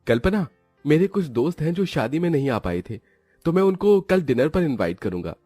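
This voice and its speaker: A man's voice: a little high, big pitch swings, brisk, dark tone, no room sound, soft background music, voice pushed up. A man voicing an earnest young husband in a cartoon story, speaking emphatically and pleadingly. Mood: friendly, instructing. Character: earnest young husband defending his wife.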